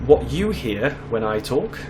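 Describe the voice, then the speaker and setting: A man's voice: medium pitch, very animated, measured pace, background noise. A man with a slight lisp chatting casually with many fillers while playing a truck driving game. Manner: rambling and conversational, with many fillers. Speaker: gaming channel host. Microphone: desk microphone.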